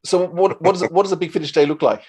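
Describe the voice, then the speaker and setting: A man hosting a casual interview, asking questions in a halting way and rephrasing them as he goes. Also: sits high, a little mellow, slightly rough. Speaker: interviewer, host.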